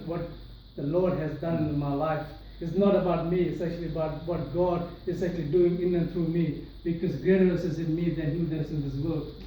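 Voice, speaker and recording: Man, from Sri Lanka, personal testimony, earnest with restarts, fairly high voice, faint room noise. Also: mellow. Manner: earnest, many restarts and fillers. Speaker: speaker sharing his testimony. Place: large echoey room with an audience. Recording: echoey hall, voice from far off.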